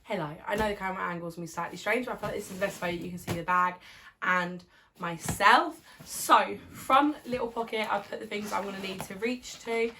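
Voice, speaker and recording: A young woman talks chattily to a camera on a tripod at home, her voice hoarse as she gets over a cold. She rambles along with plenty of ums and likes, in the loose tone of a casual vlog. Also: medium pitch, a little fast, bright, forceful tone, slight background noise. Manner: chatty, rambling, filler-heavy. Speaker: vlogger.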